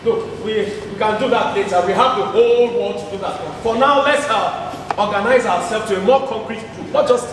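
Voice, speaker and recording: Man playing young organizer, high pitch, insistent, halting, stage play, noisy echoing hall. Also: lightly acted. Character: practical, insistent young male student organizer. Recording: echoey noisy theatre from far off.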